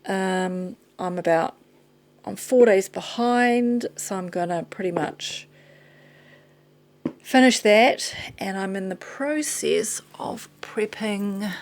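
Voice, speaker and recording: A woman with a New Zealand accent, tired and slightly hoarse, chatting casually and rambling off topic as she shows things to the camera. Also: mid-range voice, slow, a little mellow, a little hiss or hum. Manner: rambling, chatty, self-deprecating, drifting off topic. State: tired and losing her voice. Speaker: vlogger. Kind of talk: casual chat showing items to camera.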